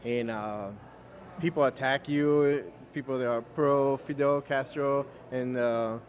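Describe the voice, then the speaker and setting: A man's voice: mid-range voice, takes it slowly, a little hiss or hum. Cuban-born man telling his story. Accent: American English, no Cuban accent. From Cuba. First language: Spanish. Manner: relaxed storyteller, many fillers and restarts. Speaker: guest. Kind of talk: casual interview chat.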